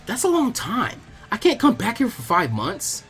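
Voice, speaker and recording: A man voicing a brash, stubborn young boy ninja in an animated fan fiction reading, loud, whiny and defiant. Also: high voice, moderate pace, faint music behind, pitched above the performer's own voice, heavily acted. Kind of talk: animated audiobook character acting.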